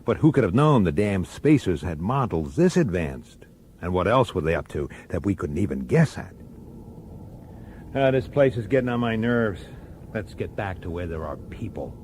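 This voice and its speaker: Casting: irritable, resentful detective narrator for radio noir, gruff and animated, faint background noise. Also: male voice, low voice, normal speed. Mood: irritated. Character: hard-bitten, resentful plainclothes police detective, narrator. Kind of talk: radio drama acting with first-person noir narration.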